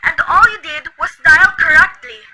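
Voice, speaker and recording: Woman playing a nervous invalid wife, very high shrill voice, fretful and demanding, amateur radio play, audible background noise. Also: normal speed. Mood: indignant. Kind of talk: student radio drama cover.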